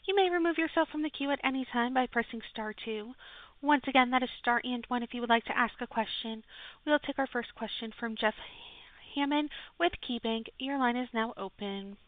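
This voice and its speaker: A woman's voice: a little high, fairly quick, dry recording. A woman reading scripted instructions as a conference call operator over a telephone line. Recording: dry, narrow telephone line.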